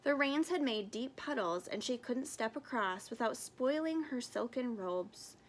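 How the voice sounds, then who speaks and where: A woman reading a storybook aloud in an expressive narrating style with careful phrasing. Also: on the high side, a little slow, slight background noise. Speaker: storybook reader.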